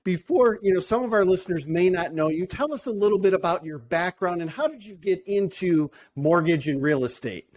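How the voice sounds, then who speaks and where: A man with a high voice hosts a podcast, opening with a brisk, upbeat announcer-style introduction. He then turns to conversational questions for his guest. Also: a little mellow. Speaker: podcast host.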